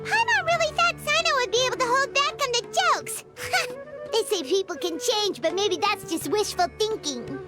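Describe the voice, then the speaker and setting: A tiny childlike fairy sidekick, extremely high and squeaky. The delivery is chirpy and excitable, swinging up and down in game-dialogue style, with music playing behind. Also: female voice, normal speed. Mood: dismayed. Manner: chirpy, refers to self in third person. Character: small excitable childlike female fairy companion. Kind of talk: video game voice acting.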